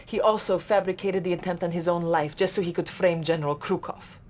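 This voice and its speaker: Fields. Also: female voice, on the low side, steady tone, brisk, a little mellow, a little hiss or hum, lightly acted. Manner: urgent, formal briefing. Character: loyal, earnest female Soviet officer. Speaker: Soviet military officer.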